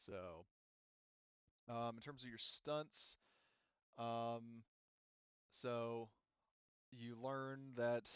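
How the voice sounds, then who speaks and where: Male game master, smooth clear voice, halting explanatory delivery, dry but slightly dull recording. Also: medium pitch, dry recording. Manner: halting, explanatory, hedging with asides. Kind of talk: improvised tabletop game narration and rules talk. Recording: muffled, dull microphone sound.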